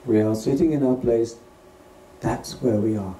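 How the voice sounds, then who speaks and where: A man leading a guided meditation as a teacher, speaking gently and unhurriedly in short phrases with pauses between them. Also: mid-range voice. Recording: distant roomy recording with faint noise.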